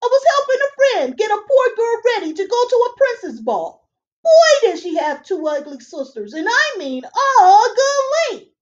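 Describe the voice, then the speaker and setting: A woman voicing a bumbling, chatty male fairy godfather who talks quickly and jokes. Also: very animated. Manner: quick, joking, squeaky. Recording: dull lo-fi webcam audio.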